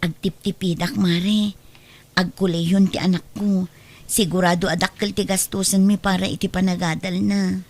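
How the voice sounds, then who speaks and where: A woman's voice: fairly low pitch, little pitch movement, moderate pace, a little mellow. An actress playing a poor, devoted, self-sacrificing mother in an Ilocano drama, humble and earnest, with an Ilocano Filipino accent. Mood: humble, grateful. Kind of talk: Ilocano radio-style drama acting.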